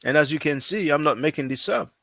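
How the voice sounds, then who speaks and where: A man who is not a native English speaker, talking casually as a language teacher, repeating himself often and filling pauses with "you know.". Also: on the high side, fairly bright tone, dry recording. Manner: repetitive, conversational, frequent fillers. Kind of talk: casual promotional talk.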